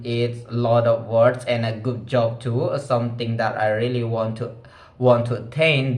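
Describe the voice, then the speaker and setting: A young man with an Indonesian accent speaks English slowly and haltingly, pausing often on fillers and restarting his sentences. His voice sits at a middle pitch, and the room around him sounds echoey. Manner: hesitant, many fillers and restarts, simple grammar. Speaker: vlogger. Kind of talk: casual English speaking practice.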